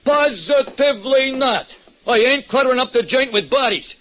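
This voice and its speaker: High-pitched New wise-guy diner owner. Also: moderate pace, a bit bright. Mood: exasperated. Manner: streetwise ungrammatical wise-guy talk. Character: Louie, excitable ex-burglar diner owner, anxious tough guy. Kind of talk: 1940s radio detective drama acting. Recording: muffled vintage radio recording.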